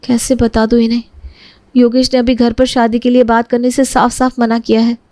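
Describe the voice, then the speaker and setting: High-pitched lovelorn young woman, acted by a woman. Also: little pitch movement, moderate pace, dark tone, no echo, lightly acted. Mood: troubled. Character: young woman in her twenties, pleading, lovelorn. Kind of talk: cartoon moral-story dialogue.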